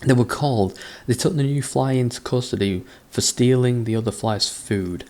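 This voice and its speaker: A man's voice: mid-range voice, moderate pace, mellow. A man doing a sarcastic reading of a book, breaking off into sweary, exasperated mockery. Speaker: comedic book reader. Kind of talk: sarcastic dramatic reading with commentary.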